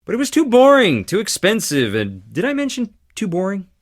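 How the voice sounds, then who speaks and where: Charming stage actor, video game character, dramatic flair, highly animated pitch, theatrical delivery. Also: male voice, normal speed, heavily acted. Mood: playful, theatrical. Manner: theatrical, dramatic, swinging between playful and intense.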